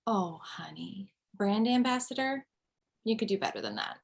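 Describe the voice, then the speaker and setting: A woman's voice: mid-range voice, very animated, moderate pace, vocal fry, slightly rough, full character voice. A woman playing a restless, wry middle-aged mother in an amateur table read over a video call. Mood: wry pity.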